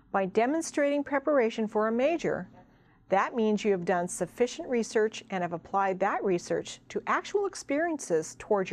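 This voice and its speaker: A woman presenting college advice as scripted informational narration, in a measured, explanatory way. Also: mid-range voice, darkish tone.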